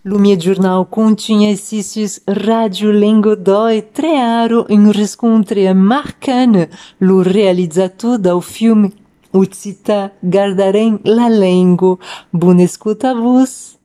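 Slow, mellow woman radio announcer. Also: mid-range voice, fairly even intonation. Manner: formal, announcing. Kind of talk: station announcement.

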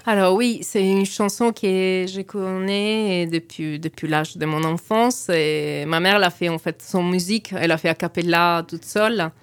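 A woman with an Italian accent chats as a studio guest. Her voice is fairly low and resonant, recorded dry, and she often repeats words while looking for the right one. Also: fairly even intonation, a little slow, few pauses, smooth, no room sound. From Italy. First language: Sicilian dialect. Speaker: singer and writer, interview guest. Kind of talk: conversational interview answers.

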